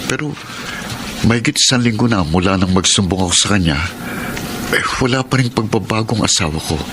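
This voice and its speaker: An actor playing a hurt, suspicious husband in a Filipino radio drama, earnest and aggrieved. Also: male voice, fairly low pitch, animated, fairly quick, background noise, close to an everyday voice. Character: hurt, suspicious husband confiding his marital troubles.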